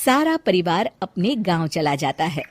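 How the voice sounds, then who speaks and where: A woman narrating a scripted cartoon moral story in an expressive storyteller's style. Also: lowish voice, unhurried, heavy background noise.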